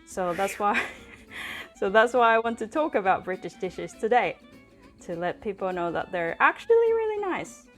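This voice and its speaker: A woman's voice: mid-range voice, very animated, normal speed, a bit bright. A woman from Japan with a Japanese accent, hosting a casual chat in English for language learners. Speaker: host. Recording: music behind the voice. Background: guitar music.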